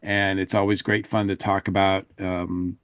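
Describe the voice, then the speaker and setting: A man with a fairly low voice chats as a podcast co-host. He gives updates casually, stopping and starting mid-thought, in a dry recording. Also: flat delivery, measured pace, fairly bright tone, no echo. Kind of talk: casual chat, giving show updates.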